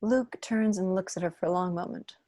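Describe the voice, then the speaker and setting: A woman's voice: lowish voice, brisk, mellow, dry recording, studio quality. A woman reading scripted stage directions as the narrator of a table read on a video call. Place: indoor room on a video call.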